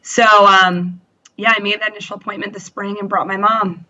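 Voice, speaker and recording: A woman in her thirties chats through her own story with a bright, forceful tone, full of fillers and little quoted asides. The recording sounds dull and lo-fi. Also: mid-range voice, level tone, moderate pace. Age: 30s. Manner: chatty, many fillers and quoted self-talk. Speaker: interview guest. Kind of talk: candid personal story.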